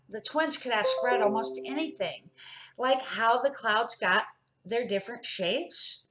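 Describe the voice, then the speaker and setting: A woman's voice: a little high, sharp tone, rough recording. An older woman, a grandmother, reading a children's storybook aloud as an amateur narrator, with expression and the odd small stumble.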